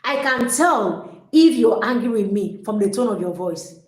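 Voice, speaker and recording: A woman coaches her listeners with energy and emphasis, often repeating her points. Her voice sits a little high. The sound carries a noticeable echo from the room. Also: lively intonation, measured pace. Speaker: relationship coach. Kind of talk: motivational teaching talk. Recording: echoey room.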